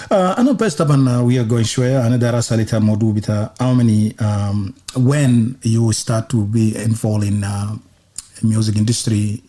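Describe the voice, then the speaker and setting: A man hosting a radio interview in a hesitant, halting way, mixing English with another language. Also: mid-range voice, warm tone.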